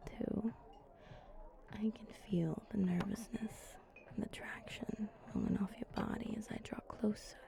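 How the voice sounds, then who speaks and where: A woman voicing a flirty, teasing female vampire in an ASMR roleplay, with a breathy voice that often drops to a whisper. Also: mid-range voice. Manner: slow, teasing, coaxing.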